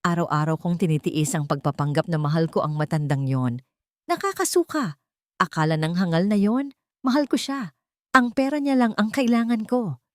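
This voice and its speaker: A woman's voice: lowish voice, lively intonation, moderate pace, no echo, studio quality, lightly acted. A woman voicing a sweet-sounding, cruel, scheming woman in an audio drama, with a sugary, cold edge. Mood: irritated disgust. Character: sweet-voiced scheming cruel young woman, impatient and disgusted. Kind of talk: Tagalog audio drama storytelling.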